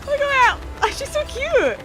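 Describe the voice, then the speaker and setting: A woman's voice: extremely high pitch, very animated, moderate pace. A young woman chatting casually on a live game stream, rambling and gushing in an informal way. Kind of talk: casual live stream chat over gameplay. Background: game music.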